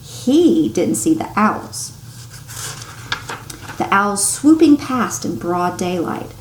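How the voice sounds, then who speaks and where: A woman reads a children's story aloud expressively, like a teacher, with dramatic pauses, strong emphasis and hushed turns for suspense. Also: mid-range voice, big pitch swings. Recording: roomy echo, some background noise.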